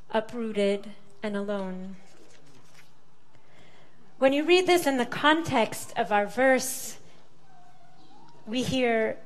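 Slow, measured woman preaching a sermon. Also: fairly high pitch. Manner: measured, deliberate delivery with weighty pauses. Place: large sanctuary, indoors.

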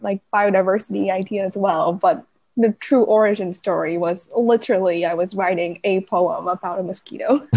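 Bright-voiced young woman from Vietnam. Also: fairly high pitch. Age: young adult. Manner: giggly, animated storytelling. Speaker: guest, climate journalist. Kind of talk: casual interview chat.

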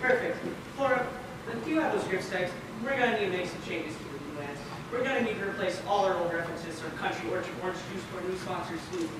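A fussy, flattering radio show host acted by a young man speaks high and theatrically. It is an amateur stage play, picked up from far off with loud noise. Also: quick pace, very echoey, close to an everyday voice. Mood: brisk, flattering. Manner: theatrical, projected, exclamatory. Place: large indoor theater stage, far camera.

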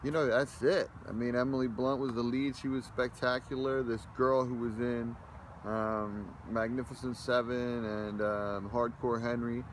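A man's voice: mid-range voice, flat delivery, a little slow, poor audio. Rambling man filming himself outdoors. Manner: rambling, loose, many fillers and restarts. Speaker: amateur movie reviewer. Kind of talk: casual selfie video review. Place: outdoors in a parking lot. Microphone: phone camera held close at arm's length. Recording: outdoor phone recording with clear background noise. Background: outdoor ambience with passing vehicles.

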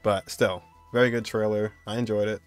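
A young man with a fairly low voice talks casually through his reaction to a video as its host. Music plays faintly behind him. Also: steady tone, fairly quick. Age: young adult.

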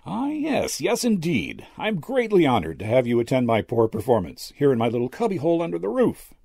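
A man voicing a kindly old medium and former actor, gentle, courtly and theatrical, in an audiobook story. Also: mid-range voice, huge pitch swings, a little fast, gravelly, dry recording, pitched above the performer's own voice, full character voice. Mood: warm, gracious. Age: older. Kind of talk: character dialogue in an audiobook story.

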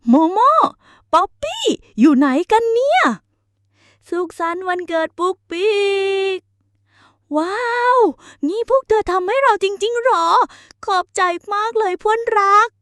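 A woman plays a tiny cartoon ant with a very high, cute voice. The little creature is cheerful, eager and sweet, made for a children's storybook tale, recorded close and dry. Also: animated, a little slow, fairly bright tone, no echo, exaggerated voice. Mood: excited, touched. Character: cheerful little ant friends planning a surprise birthday party. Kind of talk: children's animated storybook character acting.

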